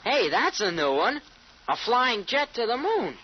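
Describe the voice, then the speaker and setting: A woman's voice: fairly high pitch, very animated, unhurried, a little hiss or hum. A woman playing a brash, slangy, teasing teenage boy in an old-time radio drama. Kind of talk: 1940s radio acting. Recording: muffled old radio recording.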